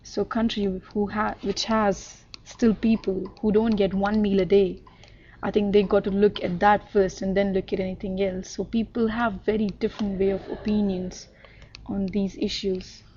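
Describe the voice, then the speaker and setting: A woman's voice: medium pitch, level tone, normal speed, darkish tone, highs cut off, slight background noise. A woman from northern India with an Indian accent, chattily answering interview questions at length. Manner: chatty, explains at length with fillers. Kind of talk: casual conversational answers.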